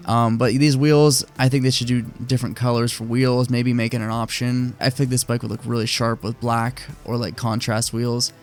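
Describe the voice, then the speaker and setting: A man getting over a cold, chatting casually and opinionatedly in a relaxed, rambling way full of fillers, hosting his own video. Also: mid-range voice. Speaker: motorcycle vlogger. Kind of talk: casual screen-share commentary.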